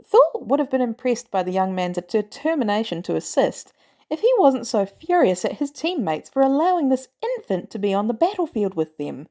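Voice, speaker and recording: Animated woman narrating a story. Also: on the high side, normal speed. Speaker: podfic narrator.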